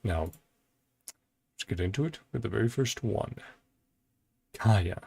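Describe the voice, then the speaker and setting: A man's voice: fairly low pitch, expressive, unhurried, gravelly, darkish tone, slightly rough. A man hosting a reaction series, chatting casually and thinking aloud in loose, informal asides. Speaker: host of a video reaction and ranking series.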